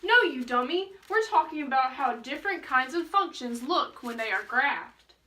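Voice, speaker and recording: A woman's voice: high-pitched, expressive, bright, forceful tone. A woman playing a bossy, bargaining wizard who teaches math in a student puppet show. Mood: instructive. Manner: brisk, instructive, impatient.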